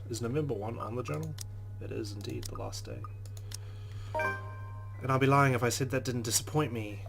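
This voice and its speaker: Man, game playthrough host, reads story aloud, casual asides, mid pitch, faint hum. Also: gravelly. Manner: earnest reading, breaking into casual asides. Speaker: let's play host. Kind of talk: reading game narration aloud with casual comments.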